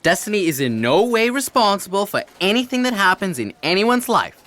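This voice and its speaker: An actor playing a smug, glib lawyer in comic audio theatre, reeling off disclaimers with a falsely casual air. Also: male voice, sits high, sing-song, a little fast, a bit bright. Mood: officious pitchman. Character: smug, glib lawyer defending a cosmic book.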